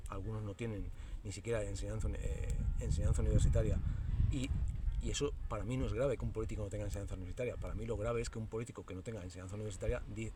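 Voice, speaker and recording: A low-voiced man in his fifties with a Madrid accent talks slowly and hesitantly, often pausing on fillers and restarting his sentences. He sits a little back from the mic, and loud noise runs under his voice. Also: recorded from a distance. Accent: Madrid Spanish. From Spain. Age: 50s. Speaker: spiritual teacher and video host. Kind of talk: casual reflective monologue.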